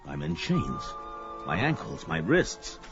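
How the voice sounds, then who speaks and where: A proud, brawny barbarian played by a man in a pulp fantasy radio play. He speaks in archaic, defiant phrases with very dramatic swings in pitch. The sound is dull, with clear music underneath. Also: wildly swooping pitch, takes it slowly, exaggerated voice. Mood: dazed, bewildered. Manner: defiant, archaic adventure-hero speech.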